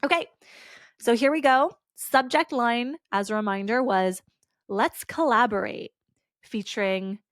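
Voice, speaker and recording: A woman's voice: on the high side, measured pace, no room sound, clean studio sound. A woman hosting a solo podcast, talking casually with lots of "like" fillers, her voice stuffy and congested. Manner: chatty, casual, with frequent "like" fillers. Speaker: podcast host.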